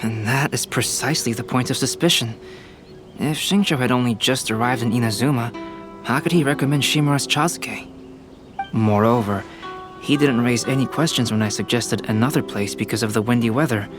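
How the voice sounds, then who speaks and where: A man voicing a calm, analytical young scholar in a video game, articulate and courteous, reasoning in a measured way. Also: medium pitch, music in the background, lightly acted. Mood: analytical, composed.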